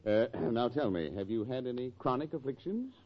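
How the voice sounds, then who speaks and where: An actor playing a polite but exasperated doctor, formal and a bit flustered, in a 1940s radio comedy. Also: male voice, medium pitch, lively intonation, normal speed, background noise, close to an everyday voice. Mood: strained patience. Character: exasperated, polite male doctor with dry patience. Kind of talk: 1940s radio sitcom acting. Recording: dull vintage 1940s radio.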